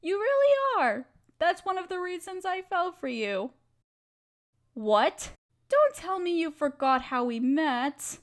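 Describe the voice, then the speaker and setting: A woman acting as a loving young wife with a high voice and a slow, lingering pace. She is tender and playfully teasing, speaking intimately to her partner as in a girlfriend roleplay audio. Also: sing-song, full character voice. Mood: fond, teasing.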